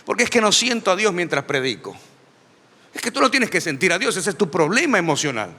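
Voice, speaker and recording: A middle-aged man preaching a lively sermon to a church, emphatic and repetitive, with touches of humor. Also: high voice, brisk, long reverb tail. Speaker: pastor.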